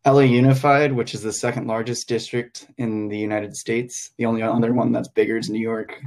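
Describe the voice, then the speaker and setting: A man's voice: medium pitch, flat delivery, a little fast, a little mellow. A young man from Hong Kong, a teacher and podcast guest, talking casually and hesitantly, thinking aloud with many fillers.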